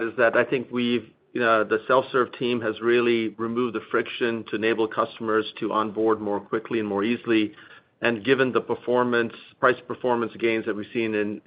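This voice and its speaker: A man with a low voice and very flat intonation speaks as an executive on an earnings call. The recording is dull and lo-fi. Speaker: company executive.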